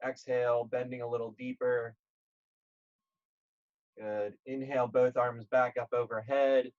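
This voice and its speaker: A man leading a guided yoga class, speaking gently and calmly in short, measured phrases with pauses. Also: medium pitch, muffled recording. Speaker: yoga instructor.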